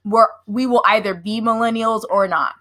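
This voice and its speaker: A woman's voice: fairly high pitch, steady tone, moderate pace, a bit bright. A woman in her thirties co-hosting a podcast, upbeat and laughing often in casual chat. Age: 30s. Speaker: podcast co-host.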